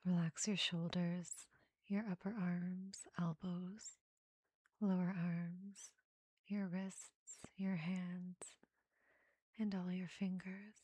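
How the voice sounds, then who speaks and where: A woman leading a guided meditation in a soft, breathy half-whisper, giving gentle, soothing instructions in short phrases with pauses between them. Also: lowish voice, level tone, dry recording.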